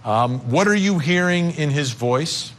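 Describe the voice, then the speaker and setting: A man hosting a live legal news interview, speaking in emphatic, deliberate phrases. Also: a little high, animated, a bit bright, slight background noise. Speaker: TV news host.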